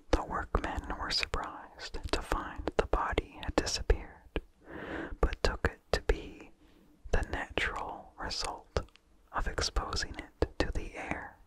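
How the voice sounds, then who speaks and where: An ASMR host reading in a breathy, unvoiced whisper, hushed and deliberate, with incidental mouth sounds close to the microphone. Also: poor audio. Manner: slow, deliberate, hushed delivery with long pauses. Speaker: ASMR host reading a vintage horror story.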